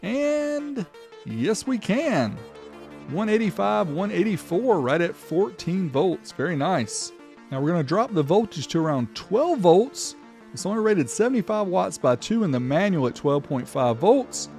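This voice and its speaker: A man's voice: sits high, sing-song, unhurried, creaky, a little mellow, soft background music. A man hosting a product test video, explaining each step and reading out results as he demonstrates. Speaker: host of a car audio amplifier test video. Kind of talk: demonstration narration with test readouts.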